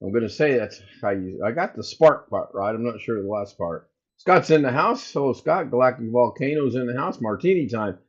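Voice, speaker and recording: Booming man hosting a casual livestream. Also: lively intonation, fairly quick, dull audio. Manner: rambling, thinks aloud while fixing tech. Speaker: livestream host. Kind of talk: casual live chat with viewers.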